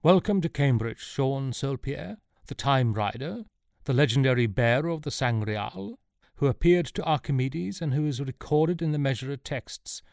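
A man's voice: mid-range voice, animated, normal speed, gravelly, a little mellow, no echo, studio quality, pitched above the performer's own voice. A man voicing an elderly eccentric professor, once an adventurer, speaking in a theatrical, old-fashioned way. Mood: grand reverence.

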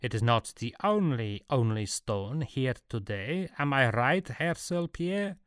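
A man's voice: fairly low pitch, expressive, normal speed, no echo, clean studio sound. A man voicing a proud, middle-aged Swiss merchant, a slick hustler in an audiobook story. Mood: sly, boastful.

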